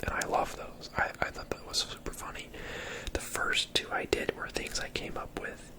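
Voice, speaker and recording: A man whispering softly in a casual, rambling, self-deprecating ASMR commentary on his own videos. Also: moderate pace. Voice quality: soft breathy whisper.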